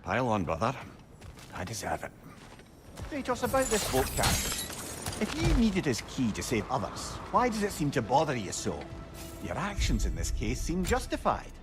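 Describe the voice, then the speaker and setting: Eloquent old companion, lively intonation. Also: male voice, medium pitch, moderate pace, music in the background. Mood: sheepish, then gently probing. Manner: eloquent, confiding, storytelling. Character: eloquent, wry, reflective old male companion. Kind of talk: dramatic video game acting.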